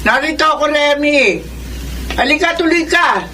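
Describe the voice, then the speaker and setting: A woman's voice: high voice, lively intonation, normal speed. A woman acting a kindly, protective older aunt in a Filipino radio drama, consoling and motherly, with a Filipino accent. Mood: welcoming. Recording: noisy radio drama recording.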